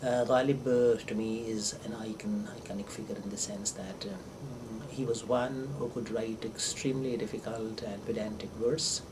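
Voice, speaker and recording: An older man with an Indian accent and Urdu as his first language, giving an informal literary lecture in deliberate phrases with hesitations and repetitions. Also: fairly low pitch, steady tone. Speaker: English professor, visiting scholar. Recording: distant microphone with clear background noise.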